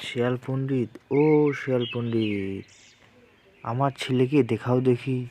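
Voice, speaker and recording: A man's voice: mid-range voice, steady tone, unhurried, slight background noise, lightly acted. A man voicing a simple, honest father crocodile who talks plainly and earnestly. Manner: plain, earnest, calling out loudly.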